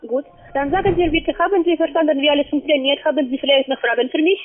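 A woman with a foreign accent, not a native German speaker, giving a halting sales pitch on the phone as a call-center agent in broken grammar. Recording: tinny, narrowband phone line.

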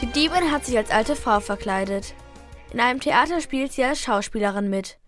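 A woman's voice: on the high side, lively intonation, moderate pace, music in the background. A young girl reading scripted narration for a crime radio play. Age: child about 10 to 13.

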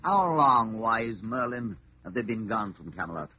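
An actor playing a cool, conspiring villainous knight in an old-time radio drama, with archaic speech. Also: male voice, fairly low pitch, very animated, measured pace, bright, forceful tone, breathy edge, faint music behind, exaggerated voice. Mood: cool plotting. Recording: dull old radio recording.

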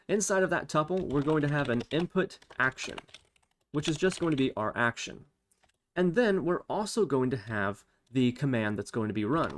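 A man with a mid-pitched voice narrates a step-by-step coding tutorial, thinking aloud as he works. He explains each move in an instructional way. The recording has noticeable background noise, with typing and clicks behind him. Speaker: tutorial host. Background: computer keyboard typing and mouse clicks.

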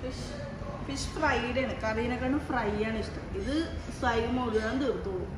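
A woman's voice: fairly high pitch, moderate pace. A woman chatting casually and eagerly about the food on a restaurant vlog. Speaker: food vlogger. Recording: echoey noisy restaurant.

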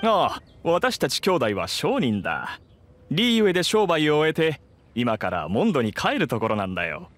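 A man's voice: a little high, huge pitch swings, normal speed, a bit bright, a little hiss or hum. An actor playing a two-faced young male bandit who poses as a merchant in an anime game, with a slick, theatrical manner. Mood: falsely friendly. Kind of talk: anime game character acting.

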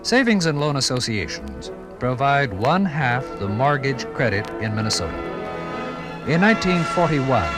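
A man with an American accent narrates a 1950s radio documentary. His delivery is formal and measured, with dramatic phrasing at a medium pitch. Music sits behind him on an old vinyl broadcast recording.

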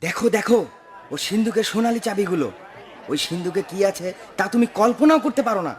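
A man voicing an excitable servant and townsman in a cartoon, brisk and eager. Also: sits high, close to an everyday voice. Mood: awed, earnest. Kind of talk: cartoon voice acting.

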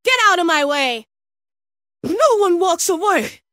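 Woman acting fierce, high-pitched game fighter. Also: very animated, measured pace, pushed and bright, no echo, clean studio sound, full character voice. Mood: menacing. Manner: theatrical, menacing, commanding. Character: fierce female video game fighter shouting battle taunts. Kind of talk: performed video game voice lines.

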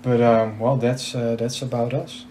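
A man's voice: medium pitch, little pitch movement, measured pace, warm tone, slight background noise. An eighteen-year-old man, a native Dutch speaker with a Dutch accent, chatting casually and a bit awkwardly in hesitant English. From Netherlands. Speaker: host of a gaming channel. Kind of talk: casual chat over gameplay.

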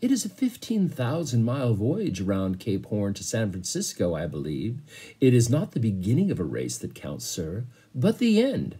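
Calm, proper butler for a children's story, done by a male reader, polite, slightly husky. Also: fairly low pitch, heavily acted. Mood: perfectly calm. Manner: polite, formal, measured.

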